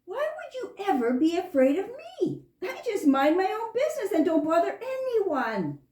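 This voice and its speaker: A woman's voice: extremely high pitch, big pitch swings, normal speed, darkish tone. A woman voicing a friendly, chatty young female skunk in a children's puppet show, earnest as she explains things. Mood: friendly, animated. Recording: roomy, echoing room sound.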